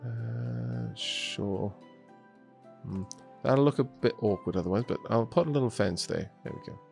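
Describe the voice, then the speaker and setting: A man's voice: fairly low pitch, expressive, measured pace, gravelly, darkish tone, soft background music. A young man with a Welsh accent, casually thinking aloud as he streams a building game. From Wales. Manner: chatty, thinking aloud while building, small restarts. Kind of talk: casual gameplay commentary. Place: home streaming setup in Scotland.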